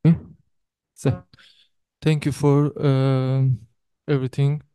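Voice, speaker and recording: A Kurdish man from Kurdistan speaks halting, simple English that is not his first language. His voice is mellow and very resonant, with a flat, slow delivery. The sound is dry and close. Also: mid-range voice, clean tone, dry recording. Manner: halting, simple phrasing in non-native English. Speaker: musician. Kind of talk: brief thanks at a live event.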